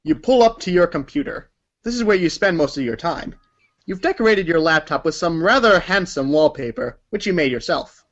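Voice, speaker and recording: A man's voice: on the high side, fairly bright tone, highs cut off. A man reading scripted second-person narration like a read-along storybook, with theatrical emphasis.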